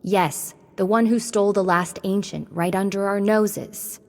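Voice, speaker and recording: A woman's voice: medium pitch, fairly even intonation, unhurried, natural voice. An actress playing a determined, earnest witch rebel in a video game cutscene. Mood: urgent, resolute.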